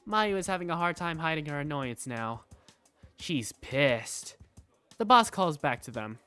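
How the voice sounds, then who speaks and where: A narrator reading first-person scripted visual novel story text in an expressive storytelling manner. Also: mid-range voice, unhurried, clean tone, soft background music. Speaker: narrator and lead character.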